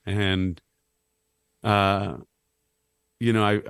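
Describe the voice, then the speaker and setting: A man in his thirties with a low, slightly raspy voice, chatting casually on a podcast as a guest, rambling and self-deprecating. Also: drawn-out words, no room sound, studio quality. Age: 30s. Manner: rambling, self-deprecating, animated when joking. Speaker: clown and musician guest.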